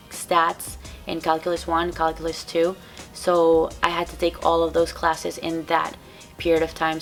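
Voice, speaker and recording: A young woman with a Venezuelan Spanish accent speaks in a low, gravelly voice. She talks to her viewers in a casual, earnest way and answers their questions with a flat, even delivery. Faint music plays underneath. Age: young adult. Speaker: strength coach and physical therapy graduate. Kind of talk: casual vlog advice. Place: parked car, then indoors by a window.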